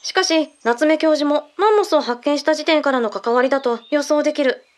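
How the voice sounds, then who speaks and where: Radio drama role: female agent giving briefings, clearly high-pitched, blunt, businesslike, mannish wording woman performer. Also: brisk, lightly acted. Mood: matter-of-fact, explanatory. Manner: blunt, mannish phrasing, explanatory. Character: brisk, matter-of-fact female intelligence agent briefing a colleague. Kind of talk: Japanese radio drama acting.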